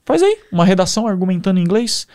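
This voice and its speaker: A young man with a Brazilian Portuguese accent, a podcast guest telling stories in a casual, animated way. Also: sits high, rapid-fire. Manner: animated, enthusiastic storyteller. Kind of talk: casual storytelling.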